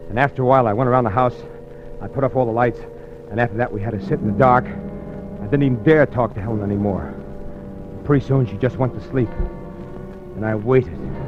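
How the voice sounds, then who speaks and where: A man plays a scheming husband in an old radio thriller, talking quickly and nervously. His delivery is evasive and confessional, as if owning up to a crime. The broadcast is muffled and dull, with prominent dramatic music. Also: mid-range voice, close to an everyday voice. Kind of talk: 1940s-50s radio thriller acting.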